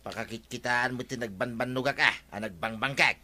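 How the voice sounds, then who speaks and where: An actor playing a cocky, evasive village husband with an Ilocano accent in a radio comedy drama. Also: male voice, mid-range voice, fairly quick, bright, forceful tone. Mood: chummy. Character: cocky, evasive village husband who dodges farm work.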